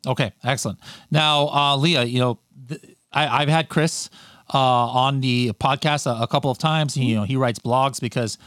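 An upbeat man hosting a podcast, talking in a loose, chatty way and often restarting his sentences. His voice sits at an ordinary middle pitch. The sound is dry and close, with no room around it. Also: fairly even intonation, normal speed, fairly bright tone, no echo. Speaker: podcast host. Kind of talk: casual interview chat.

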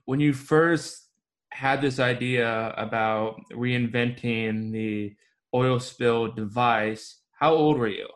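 A man's voice: mid-range voice, takes it slowly. A young man hosting a podcast in an easy, upbeat way. His voice sits in a middle range, and his questions come out loose, with fillers. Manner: casual, upbeat intros with fillers. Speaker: podcast host. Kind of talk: podcast interview.

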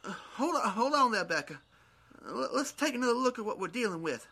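A man's voice: very high voice, very animated, brisk, creaky, sharp tone, husky, exaggerated voice. A man playing a hesitant, folksy, helpful survivor in a zombie audio drama, stammering as he speaks. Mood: cautious.

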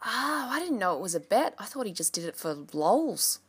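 A woman chimes in with quick, light, joking remarks in a casual podcast conversation. Her voice sits at a middle pitch. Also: sing-song, slightly rough.

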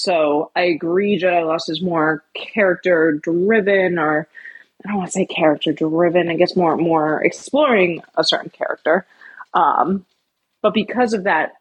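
A woman's voice: on the low side, husky, no echo, highs cut off. A woman co-hosting a podcast, talking casually and thinking aloud, often qualifying her points. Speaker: podcast co-host.

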